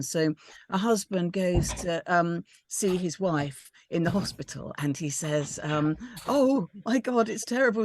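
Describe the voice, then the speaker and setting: A woman's voice: medium pitch, a little mellow, a little hiss or hum. A woman giving informal feedback on a script, tentative and hesitant as she thinks aloud. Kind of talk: informal spoken critique of a script.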